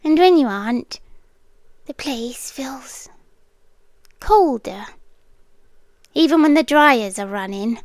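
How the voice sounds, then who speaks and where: An obsessive young yandere girl with a British accent, acted by a woman, murmurs in a high, soft voice right up at the microphone. The sound is dry and intimate, as in ASMR roleplay. She takes her words slowly and deliberately, often dropping to a whisper, with longing and quiet menace. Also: lively intonation, no echo. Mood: confessional, longing. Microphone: close microphone.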